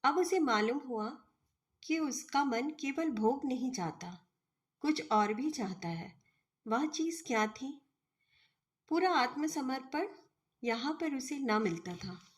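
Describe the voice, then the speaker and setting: A woman reading a literary short story as scripted narration, in a measured, deliberate manner. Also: high-pitched, expressive.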